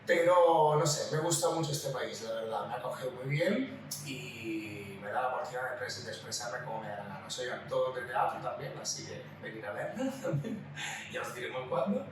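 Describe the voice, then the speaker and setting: A man's voice: medium pitch, expressive, normal speed, music in the background. A man with an Italian accent chatting casually and jokily as a talkative podcast co-host. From Calabria, southern Italy. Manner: talkative, jokey. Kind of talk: casual chat. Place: living room at home. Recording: echoey room, distant mic, clear noise.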